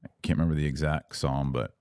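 A man's voice: rumbling low, fairly even intonation, fast talker, a little mellow, no echo. A man speaking as a podcast host, teaching about religion conversationally and answering questions in a didactic, emphatic way.

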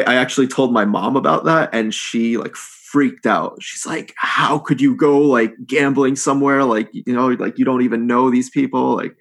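A man in his thirties with an American accent talks easily as a podcast guest, his middle-pitched voice carrying a gravelly rasp. He rambles, piling up fillers as he goes. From United States. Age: 30s. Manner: relaxed, rambling, heavy use of "like". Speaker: podcast guest, poker pro. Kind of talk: casual interview chat.

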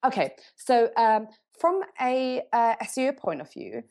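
A middle-aged woman speaking English, answering interview questions thoughtfully and often pausing to rephrase. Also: on the high side, sing-song, a little mellow. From Norway. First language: Norwegian. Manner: thoughtful, pauses to search for words and rephrases. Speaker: agency CEO, guest.

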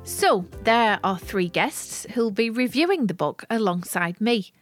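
A woman hosting a podcast, presenting a book review in a clear, methodical, scripted way. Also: fairly high pitch, animated, a little slow, faint music behind. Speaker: podcast host.

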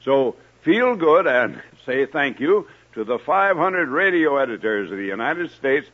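Hearty guy hosting old kids radio show, husky voice, swoops around, bit muffled. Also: mid-range voice, very animated, unhurried. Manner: hearty, folksy address to young listeners. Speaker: host and storyteller of a children's radio show. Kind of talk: 1950s children's radio hosting and storytelling. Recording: dull old radio recording with music.

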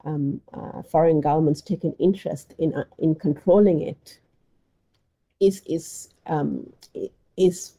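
A woman's voice: sits low, unhurried, mellow, slightly rough, rough recording. A middle-aged woman from Sri Lanka, an anthropologist answering interview questions with frequent restarts and fillers. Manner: hesitant, many restarts and fillers. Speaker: anthropologist guest.

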